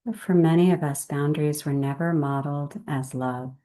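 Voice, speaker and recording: A woman leading a guided meditation in a gentle, unhurried way, with measured phrases and long pauses. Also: sits low.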